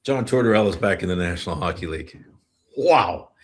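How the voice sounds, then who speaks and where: Low-voiced man hosting a sports podcast. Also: lively intonation, a little fast. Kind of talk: casual sports commentary and interview.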